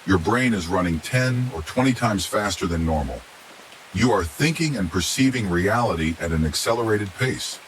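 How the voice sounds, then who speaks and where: A man's voice: sits low, low-quality recording. A man voicing a matter-of-fact female research assistant in a story, explaining things in clinical terms. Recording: steady hiss behind the voice.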